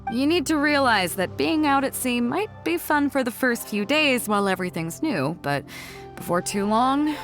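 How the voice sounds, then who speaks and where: A confident female ship captain from a video game, with a highish voice that swings widely in pitch. She is hearty and commanding, yet playful and teasing, and music plays behind her. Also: lively intonation, moderate pace. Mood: confident, explanatory. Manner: breezy, playful, self-assured, chuckles while talking. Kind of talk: video game character dialogue.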